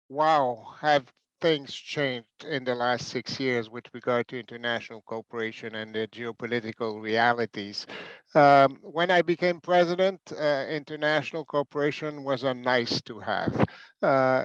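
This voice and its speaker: A middle-aged man with a smooth, resonant voice speaks in a measured way, often pausing on fillers and repeating words. The sound is dry and a bit dull, like a video call. He talks as a university president on a panel. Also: medium pitch, no echo. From Canada. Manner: measured, with frequent fillers and restarts. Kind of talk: panel talk.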